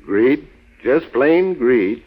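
An actor playing a shrewd, confident adventurer-detective in a 1940s radio drama, on an old broadcast recording. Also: male voice, medium pitch, animated, extremely slow. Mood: calm, explaining. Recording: dull lo-fi vintage radio recording.

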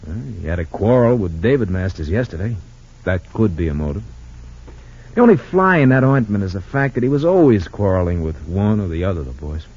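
An actor playing a folksy, excitable police lieutenant in an old radio drama. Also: male voice, deep voice, brisk, slightly rough, full character voice. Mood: matter-of-fact. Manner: chuckling, exclamatory, folksy. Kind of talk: 1940s-50s radio drama acting. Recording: dull lo-fi old radio recording.

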